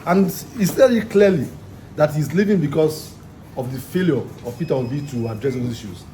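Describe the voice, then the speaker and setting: A man giving his opinion in a street interview, animated and firm. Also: a little high, fairly quick, a little hiss or hum, poor audio.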